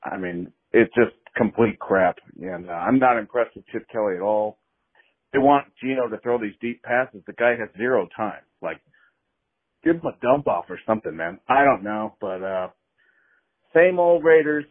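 A man's voice: lowish voice, animated, moderate pace. A man calling in to a sports show, fed up and blunt. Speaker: caller. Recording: tinny phone line.

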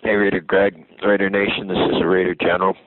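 A sick man with a gravelly voice calling in to a radio show, earnest and heartfelt with many fillers. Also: low-pitched, level tone, fast, background noise. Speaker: caller. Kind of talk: phone-in call. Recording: tinny phone line.

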